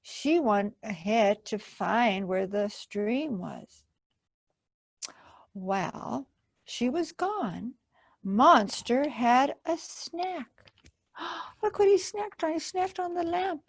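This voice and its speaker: A woman's voice: on the high side, lively intonation, slow pace, pauses often, husky, dry recording. A woman hosting a children's storytime, reading a picture book aloud expressively and explaining it simply to young listeners. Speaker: library storytime host and reader.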